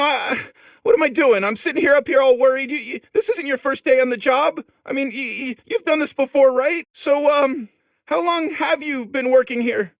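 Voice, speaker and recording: Very high-pitched, stammering timid robot. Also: male voice, vocal fry, fairly bright tone, dry recording, full character voice. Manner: stammering, rambling, worried. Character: anxious, timid, stammering male robot core. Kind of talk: comedic video game voice acting.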